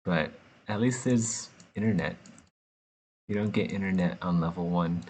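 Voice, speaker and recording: A man's voice: sits low, moderate pace, darkish tone. A man from the United States chatting casually and joking as he works, laughing often between rambling asides. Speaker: artist streaming while sculpting. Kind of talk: casual chat while working.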